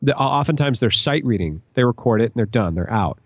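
Male podcast guest, conversational and analytical, average pitch, no room sound. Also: lively intonation, brisk, no echo, clean studio sound. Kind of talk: casual analytical podcast chat.

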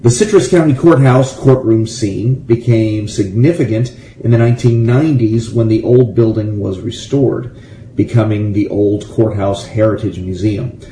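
A man's voice: lowish voice, dark tone. An American man from Florida hosting a casual radio show, reading trivia and adding rambling, jokey asides. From Gainesville, Florida. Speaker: radio show host and musician. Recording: roomy, echoey, faint background noise.

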